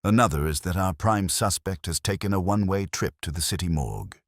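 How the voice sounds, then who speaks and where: A man's voice: sits low, a little fast, no room sound. A man voicing a wry, streetwise detective's assistant with flippant, dry-humored delivery in an audiobook.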